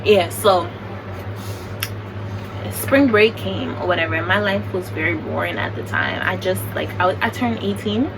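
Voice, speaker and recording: A young American woman telling a story in a chatty, animated, slangy way. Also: fairly low pitch, normal speed, vocal fry. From United States. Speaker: vlogger. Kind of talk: casual storytime. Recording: distant mic with background noise.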